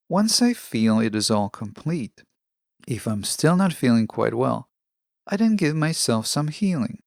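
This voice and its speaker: Gravelly-voiced man hosting a podcast. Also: medium pitch, dry recording, clean studio sound. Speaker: podcast host. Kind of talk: scripted self-help monologue.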